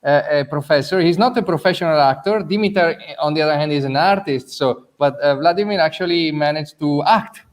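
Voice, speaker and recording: Guy hosting a live q&a, voice a bit high, chatty, stops and repeats words. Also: a little fast, few pauses. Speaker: festival host moderating audience questions.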